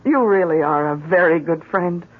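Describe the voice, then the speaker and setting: An actress in a 1970s radio drama playing a worried, loyal farmhouse wife, heard through muffled broadcast sound. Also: female voice, fairly low pitch, moderate pace, lightly acted. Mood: concerned, warm.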